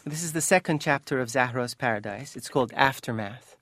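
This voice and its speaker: A man's voice: mid-range voice, fairly quick, a bit bright, no room sound. Earnest male author, dry sound over music. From Iran. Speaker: author, interview guest. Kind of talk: thoughtful interview talk and book reading.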